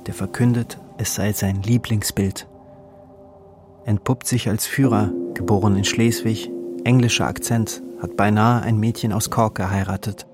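Low-voiced man reading a diary over music. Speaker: reader of a travel diary. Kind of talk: scripted literary narration, audiobook-like diary reading.

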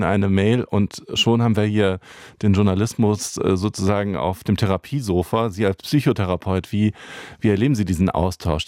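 A man with a very low, mellow voice moderates a radio discussion. He asks his guests measured, formal questions. The sound is dry and close-miked. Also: no room sound.

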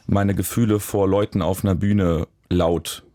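Deep-voiced man, thoughtful and searching. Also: fairly even intonation, a little slow, dark tone, no echo, studio quality. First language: German. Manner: thoughtful, searching, with fillers and restarts. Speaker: musician guest. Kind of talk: reflective interview talk.

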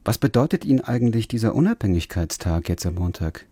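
Male radio host, low and mellow, measured delivery, dry booth. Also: husky, no room sound. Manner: calm, measured, speaks German and Russian. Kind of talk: radio interview with simultaneous interpreting.

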